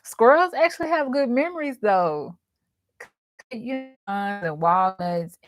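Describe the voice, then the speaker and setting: A woman's voice: mid-range voice, no room sound. A woman chatting casually and informally to her viewers as the host, telling stories in colloquial everyday speech. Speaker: host, dream interpreter.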